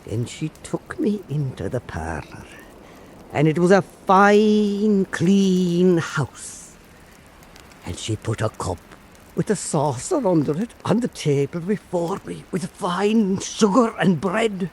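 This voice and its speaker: A man playing an old Irish island storyteller, telling an eerie fireside tale in a deliberate, theatrical way for radio drama. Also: sits high, very animated, a little mellow, slight background noise, heavily acted. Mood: eerie, measured.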